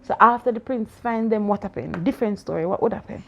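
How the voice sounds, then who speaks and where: A woman's voice: mid-range voice, fast talker, gravelly, a bit bright, dull audio. A woman talking a child through a story, asking questions, laughing often and playfully challenging the child's answers. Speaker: aunt discussing a story with a child. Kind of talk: casual discussion after a story reading.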